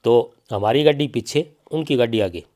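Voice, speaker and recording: A man's voice: mid-range voice, moderate pace, darkish tone. A middle-aged man with a Haryanvi accent gives spoken testimony in an interview, telling it insistently, with repetition and reported speech. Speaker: bereaved father giving an interview.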